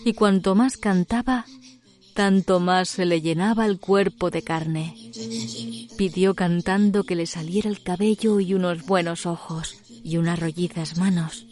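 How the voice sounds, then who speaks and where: A woman narrating a literary tale as a storyteller, in a measured, dramatic scripted delivery. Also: lowish voice, fairly even intonation, darkish tone, faint music behind.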